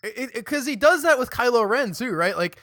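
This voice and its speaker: Lively, bright-voiced man podcast host. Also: very high-pitched, normal speed, no room sound. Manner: chatty, animated, restarts and stumbles mid-sentence. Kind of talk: casual chat.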